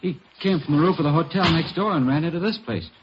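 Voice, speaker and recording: An actor playing an evasive twenty-two-year-old drifter under police questioning in a 1950s radio drama, answering in a sullen, guarded way. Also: male voice, a little high, fast, close to an everyday voice. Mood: uneasy, defensive. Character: evasive, defensive young male drifter suspect, twenty-two. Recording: dull, noisy vintage radio recording.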